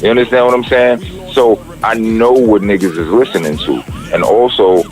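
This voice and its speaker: A middle-aged man with a deep voice, a rapper talking casually in an interview, rambling and profane, with many restarts. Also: fairly even intonation, moderate pace, a little mellow, soft background music, poor audio.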